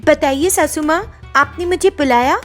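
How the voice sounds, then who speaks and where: A woman puts on a meek young bride for a cartoon family story. The voice is pitched high, well above an everyday speaking tone, submissive and plaintive, over soft background music. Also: measured pace. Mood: meek, apologetic. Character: meek, sorrowful young new bride, obedient daughter-in-law.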